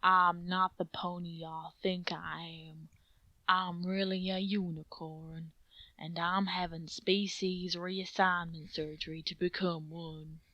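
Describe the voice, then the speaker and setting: A woman voicing a big, quiet, earnest male farm pony in a slow, deliberate, folksy way. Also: lowish voice, flat delivery, dry recording, dull audio. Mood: earnest confession.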